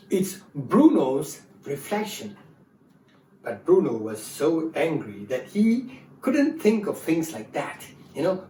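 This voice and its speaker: Animated, high-voiced male storyteller. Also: slight background noise. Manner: expressive, speaks directly to the audience. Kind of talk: dramatised storytelling for children. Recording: roomy, distant mic with faint music.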